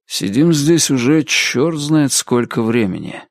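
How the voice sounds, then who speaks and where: A man's voice: mid-range voice, expressive, slow pace, no echo, studio quality. Man reading a weary, impatient detective.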